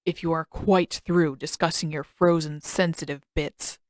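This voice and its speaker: A woman reader voices a formal old man in a fantasy audiobook. He sounds stern and disapproving, with a lowered pitch. The recording is dry, with no room sound. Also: fairly even intonation, a little slow, no echo. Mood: stern disapproval.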